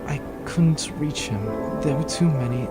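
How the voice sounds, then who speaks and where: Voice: mid-range voice, measured pace, over loud music, close to an everyday voice. An actor playing an earnest, guilt-ridden adventurer in an audio drama, speaking solemnly.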